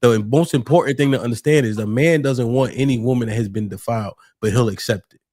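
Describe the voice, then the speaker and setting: A man hosts a casual debate show in a slangy, conversational way. His voice sits at a medium pitch and is recorded very dry, and he punctuates points with little tag questions. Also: fast talker, a little mellow, dry recording. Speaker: podcast host.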